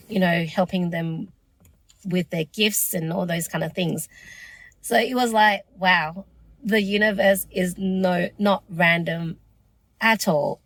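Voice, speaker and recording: A woman's voice: fairly low pitch, no echo, poor audio. A woman hosting her own podcast, losing her voice, speaking in an earnest, reflective and encouraging way. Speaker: podcast host. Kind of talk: solo podcast monologue.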